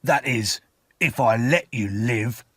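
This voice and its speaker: A man voicing a rough, menacing escaped convict in a storybook reading, growling and commanding. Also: medium pitch, lively intonation, dry recording. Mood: gruff, suspicious. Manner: forceful, commanding, slow threats.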